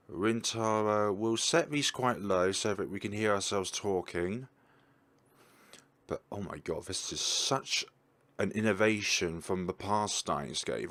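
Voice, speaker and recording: A young man chatting casually as he plays a story game and thinks aloud with excitement. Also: fairly low pitch. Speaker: gaming YouTuber. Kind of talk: casual let's-play commentary.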